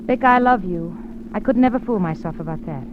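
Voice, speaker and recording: A woman acts out a devoted wife whose suspicion simmers under hesitant, searching lines. She speaks in vintage radio thriller style, softly menacing and possessive. The sound is thin and phone-like, with clear noise from an old broadcast. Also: medium pitch, expressive, brisk, close to an everyday voice. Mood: intense, possessive. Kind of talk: 1940s-50s radio drama acting.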